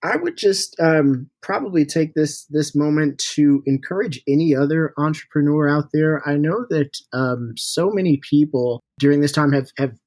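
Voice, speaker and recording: A man's voice: fairly high pitch, moderate pace, hardly any pauses, darkish tone, no echo. A man giving casual, encouraging interview answers as a podcast guest, with an upbeat and informal manner. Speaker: podcast guest, startup CEO and founder.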